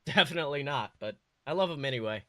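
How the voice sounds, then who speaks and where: A man voicing a poised, kindly woman with a gentle, affectionate manner in a story reading. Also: mid-range voice, expressive, quick pace, dry recording, studio quality, close to an everyday voice. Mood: fond. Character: poised, kindly, reassuring woman, superhero's fiancée.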